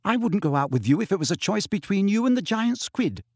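Guy doing a indignant teen schoolgirl for an audiobook, high pitched, sharp and scolding, dry sound. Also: big pitch swings, fairly quick, no room sound, heavily acted. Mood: disgusted. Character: angry, scornful, forthright teenage schoolgirl.

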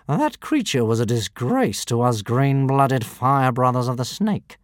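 A man's voice: medium pitch, expressive, unhurried, dry recording, clean studio sound, close to an everyday voice. A man voicing a scornful, snobbish storybook dragon with claws, in a sneering drawl. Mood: sneering, contemptuous.

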